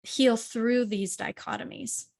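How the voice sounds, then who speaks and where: A woman's voice: on the high side, steady tone, slow, gravelly, a little mellow. A woman hosting a podcast, responding to her guest in an affirming, supportive way. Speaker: podcast host. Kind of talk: casual podcast conversation.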